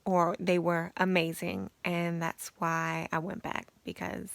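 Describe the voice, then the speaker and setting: A young woman with a raspy, gravelly voice chats casually to the camera. She talks low, loose and informal, with a lot of fillers. The sound is dry and close. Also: unhurried, no room sound. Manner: chatty, relaxed and informal, with many fillers. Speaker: beauty vlogger.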